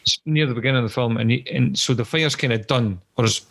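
A man's voice: medium pitch, fast, creaky, a bit bright. A man co-hosting a podcast, telling a story in casual chat, swearing freely between hesitant fillers. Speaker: podcast co-host.

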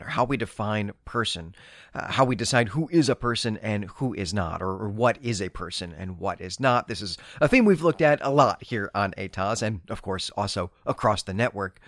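A man's voice: medium pitch, a bit bright, no echo, studio quality. A man hosting a podcast, explaining and discussing books in an articulate, scholarly way. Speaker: podcast host. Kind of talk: literary discussion and book recap.

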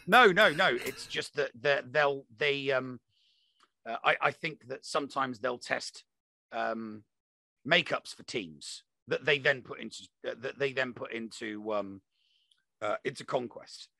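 Gravelly, bright-voiced man arguing his point. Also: medium pitch, measured pace, dry recording. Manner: emphatic, insistent, repeats himself for emphasis. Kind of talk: opinionated casual discussion of a mobile game.

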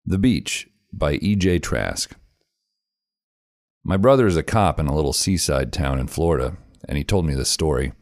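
A man, the show's host, reading a scary story aloud in a measured, grave storytelling manner. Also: rumbling low, animated, darkish tone, dry recording, studio quality. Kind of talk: scripted narration of a horror story.

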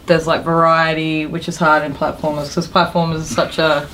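A woman's voice: low-pitched, flat delivery, moderate pace, fairly bright tone, a little hiss or hum, poor audio. A woman co-hosting a podcast, chatting casually about video games in an informal, hesitant way with trailing thoughts. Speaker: podcast co-host.

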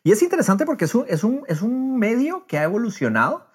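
Un hombre que conduce un podcast de charla informal, contando las cosas con animación y entusiasmo. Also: male voice, high voice, big pitch swings, quick pace, breathy edge. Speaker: podcast host. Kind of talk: casual podcast interview.

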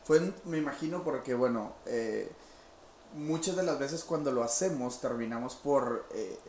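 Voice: medium pitch, a little slow, a little mellow. Host, rambling casual questions, roomy echo, faint noise. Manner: rambling, searching for words, many fillers. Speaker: interviewer, host.

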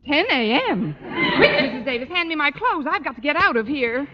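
An actress playing a weary, sardonic schoolteacher, dry and wisecracking, in a vintage radio comedy. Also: female voice, high-pitched, sing-song, fairly quick. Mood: harried. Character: wry, sardonic, weary adult woman schoolteacher. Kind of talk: 1940s-50s radio comedy acting. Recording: muffled, noisy old-time radio recording.

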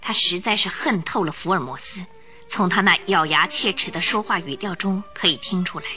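A woman narrating a radio drama in a clear, storytelling manner. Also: fairly low pitch, brisk, pushed and bright, faint music behind.

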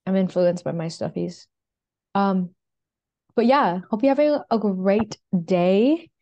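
A woman's voice: mid-range voice, takes it slowly, warm tone, muffled recording. Bubbly young woman podcast host. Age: young adult. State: runny nose. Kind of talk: casual chat.

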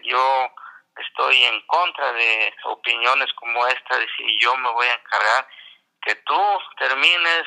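A man's voice: medium pitch, creaky, bright, forceful tone, poor audio. An older man whose first language is not Spanish, telling his story in a radio interview, hesitant with many restarts. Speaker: interview guest, graduate.

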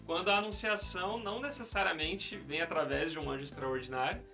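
A man's voice: fairly high pitch, fairly quick, gravelly, pushed and bright. A Brazilian man co-hosting a podcast, discussing religion casually in an informal, slangy way, repeating phrases for emphasis. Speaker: podcast co-host. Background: music under the voice.

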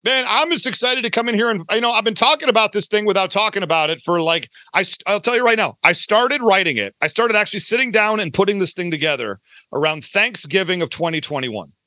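A man's voice: high-pitched, lively intonation, fast, bright, forceful tone, no room sound. A talkative, enthusiastic man chatting casually as a podcast guest, promoting his own work in a self-deprecating way. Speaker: podcast guest, audio drama creator.

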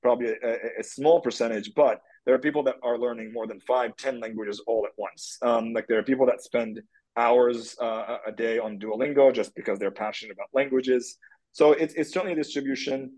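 A man's voice: mid-range voice, fairly even intonation, a little fast, a little mellow, poor audio. A man from Turkey, a guest in an interview, answering at length with many fillers and restarts. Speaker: guest, tech product executive.

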